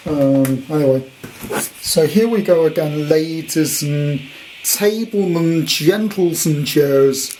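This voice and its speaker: A man with a fairly high voice talks slowly through an informal hands-on showcase. He rambles in a jokey way, playing with words as he goes. It is a home recording with some noise behind him. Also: a little mellow. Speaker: vintage radio collector presenting his purchase. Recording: clearly noisy home recording.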